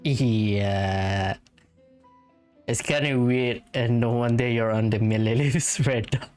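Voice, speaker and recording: A man giving casual let's-play commentary, musing aloud in a halting way. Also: medium pitch, steady tone, darkish tone, faint music behind. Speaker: gaming streamer.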